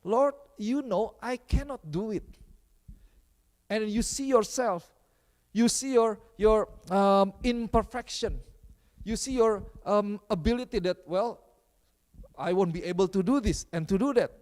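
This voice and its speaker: A man with an Indonesian accent preaching a sermon in a church, mixing languages and pausing on fillers. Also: high-pitched, darkish tone. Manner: switches between English and Indonesian, many fillers. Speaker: pastor. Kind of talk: bilingual sermon. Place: church hall. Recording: long hall reverb.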